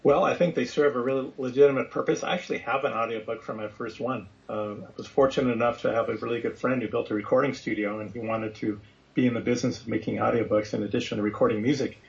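A man with a creaky, gravelly voice of medium pitch answers as an interview guest. His delivery is casual and conversational. The recording sounds a little dull. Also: steady tone, fast.

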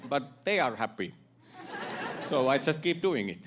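A man from Finland doing stand-up with a Finnish accent, halting and deadpan, stumbling over repeated words. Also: medium pitch. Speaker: stand-up comedian. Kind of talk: stand-up set. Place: comedy club stage before laughing audience. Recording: loud crowd laughter and noise.

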